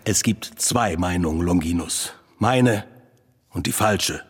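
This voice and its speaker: A man's voice: deep voice, takes it slowly, slightly rough, heavily acted. An actor in a German radio drama playing a vain, self-pitying male psychiatrist with a grandiose, theatrical delivery. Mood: smug. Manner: grandiose, theatrical, swooping between boast and wail. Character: Sigmund Freud, vain, self-pitying, hysterical cocaine-loving man.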